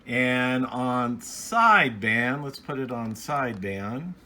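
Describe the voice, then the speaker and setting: A man giving a casual, unscripted hands-on gear review as a hobbyist, halting and restarting his phrases as he goes. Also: medium pitch, animated, pushed and bright, a little hiss or hum.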